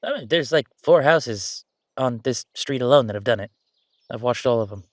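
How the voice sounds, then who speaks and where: A man acts out an easygoing ghost, a handy guy in his thirties, chatting in an audio roleplay. He rambles casually and tosses in wry asides. The voice sits at a middling pitch in a very dry recording. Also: lively intonation, normal speed, no room sound, close to an everyday voice. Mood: chatty, upbeat. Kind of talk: conversational audio roleplay monologue.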